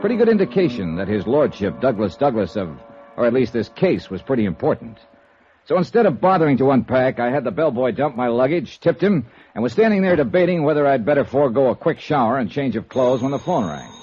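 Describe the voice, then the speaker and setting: An actor playing a wry, unflappable insurance investigator in 1950s radio noir style, wisecracking and sardonic. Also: male voice, mid-range voice, very animated, brisk, a little mellow, slightly rough. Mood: dry narration. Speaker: actor in lead role and narrator. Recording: dull old radio recording, music behind.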